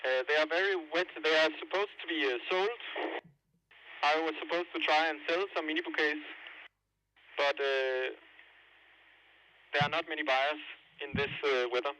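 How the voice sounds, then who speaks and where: A man giving an improvised radio report from outdoors, halting as he searches for words. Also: on the high side, fairly even intonation, pushed and bright. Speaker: field reporter. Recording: noisy outdoor field ambience. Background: outdoor background noise.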